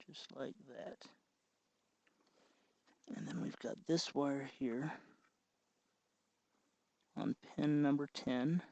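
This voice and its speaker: A man's voice: medium pitch, drawn-out words, gravelly, long pauses, a little mellow, dry recording, highs cut off. A man hosting a hands-on tutorial, explaining step by step in an informal, casual way. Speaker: tutorial host.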